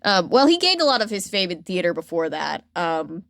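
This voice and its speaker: A woman's voice: fairly low pitch, sing-song, fairly quick, creaky, a bit bright, no echo. Casual, wry woman podcaster, dry close sound. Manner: informal, wry, jokey asides. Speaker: podcast host. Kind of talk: casual chat retelling pop culture history.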